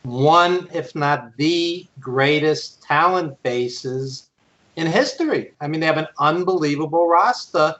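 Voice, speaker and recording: A man with a fairly high voice speaks very slowly, in short halting phrases. He gives casual opinions as a podcast co-host on a slightly dull recording. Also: animated, fairly bright tone. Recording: dull lo-fi sound.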